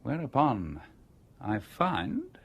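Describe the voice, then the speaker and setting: British radio drama stranger, husky, nosy but composed, really animated pitch. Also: male voice, drawn-out words, full character voice. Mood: matter-of-fact. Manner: probing, urbane, conversational. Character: inquisitive, coolly composed stranger, a male engineer.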